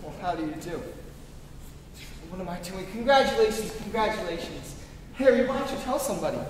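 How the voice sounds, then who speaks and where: A man's voice: high voice, expressive, a little fast. A man acting an earnest, passionate young small-town idealist in a staged old-time radio play. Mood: cheerful. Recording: echoey hall from far off, noisy.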